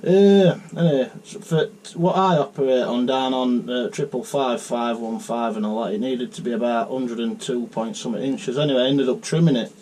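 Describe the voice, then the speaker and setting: A man's voice: medium pitch, a little fast, few pauses, darkish tone, slight background noise, poor audio. A man with a Northern English accent, chatting casually as a radio hobbyist. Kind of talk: casual chat over CB radio.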